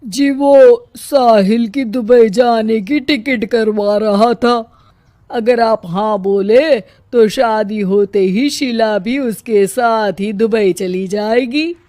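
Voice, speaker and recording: A polite, wheedling middle-aged father from a cartoon , acted by a woman. The delivery is slow and deferential, in a fairly high, coaxing voice. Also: mellow, no room sound, studio quality.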